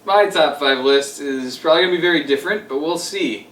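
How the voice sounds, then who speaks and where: Echoey distant pickup, higher-pitched man, podcast host, casual, jokey, informal countdown chat. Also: fairly quick, fairly bright tone. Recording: echoey room, distant microphone.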